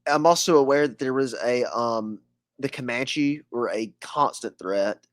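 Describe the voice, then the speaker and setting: A man's voice: mid-range voice, a little slow, no room sound, studio quality. A man hosting a podcast interview with a scratchy voice, hesitant and full of fillers. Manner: hesitant, many fillers and restarts. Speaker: podcast host.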